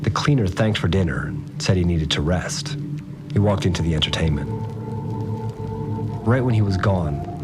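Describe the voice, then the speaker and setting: A troubled fixer, recounts things in a very low male voice. He is plain-spoken, hesitant and full of doubt, as in an audio drama confession. Music plays clearly behind him. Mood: wary, haunted.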